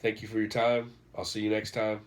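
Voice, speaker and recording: A man's voice: fairly low pitch, monotone, brisk. A man, congested and nasal from a cold, casually rambling through a book recommendation in a vlog. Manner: rambling, many fillers and restarts. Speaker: vlogger reviewing a book.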